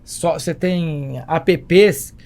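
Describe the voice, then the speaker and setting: A man's voice: on the high side, drawn-out words, a little mellow. A man with a Brazilian Portuguese accent talking emphatically in a conversational interview, repeating himself and throwing in rhetorical questions. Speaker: travel agency entrepreneur, podcast guest.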